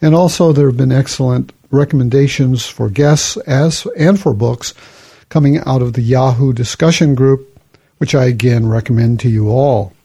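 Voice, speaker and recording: A man hosts his own podcast in a relaxed, conversational manner. His voice has a gravelly, fry-laden edge with some huskiness. The tone is dark and mellow rather than bright. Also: medium pitch, moderate pace. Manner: relaxed, conversational, reads listener emails aloud. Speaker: podcast host. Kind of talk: casual podcast talk.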